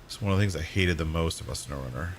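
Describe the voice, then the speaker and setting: A man with a hoarse voice, chatting casually and rambling while streaming a game. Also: a bass voice, quick pace, a little hiss or hum. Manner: rambling, self-correcting, relaxed. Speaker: gaming streamer. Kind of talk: casual live-stream chat while playing.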